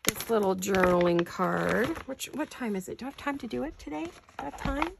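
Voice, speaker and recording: A woman's voice: mid-range voice, expressive, fairly quick, vocal fry, background noise. A woman hosting a casual craft-along, rambling and thinking aloud with folksy, playful exclamations. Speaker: craft vlog host. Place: home craft studio.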